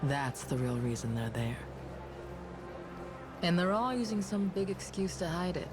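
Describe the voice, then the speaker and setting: A woman's voice: on the low side, unhurried, background noise, lightly acted. An actress voicing a tough, self-assured young woman bodyguard in a video game cutscene, casual and slangy. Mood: persuasive, sly.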